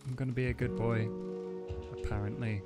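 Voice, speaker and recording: A man's voice: medium pitch, level tone, fairly quick, loud music behind the voice. A man playing a tabletop roleplaying game, speaking in character in a sheepish, casual way. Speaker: player.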